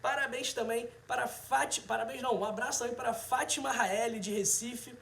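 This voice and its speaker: A man's voice: extremely high pitch, fairly even intonation, a little fast, vocal fry, a bit bright. A man of about thirty with a Brazilian accent, chatting casually and directly to viewers as a livestream host. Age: 30s. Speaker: host, health video presenter. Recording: roomy, echoing sound.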